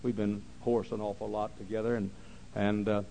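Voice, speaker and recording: Man preaching, hushed to shouting. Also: lowish voice, flat delivery, vocal fry, rough recording. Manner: swings from hushed, slow talk to loud shouting; repeats phrases for emphasis. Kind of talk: sermon.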